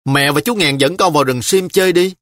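A man's voice: a little high, a little fast, a bit bright, dry recording, clean studio sound, voice pushed up, close to an everyday voice. A man voicing a stubborn, sulky little girl of about ten who pleads and insists in an audiobook. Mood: insistent. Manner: pleading, insistent child's whine.

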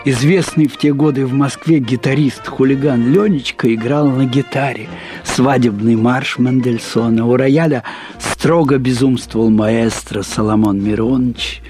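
A man reads a literary story aloud in the first person, expressively, with deliberate phrasing, drawn-out words and pauses. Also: medium pitch, darkish tone, faint music behind. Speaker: narrator reading a short story aloud.

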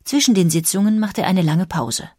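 Measured female narrator, dry studio sound. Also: lowish voice, expressive, a little mellow, slightly rough, no room sound. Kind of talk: scripted documentary narration.

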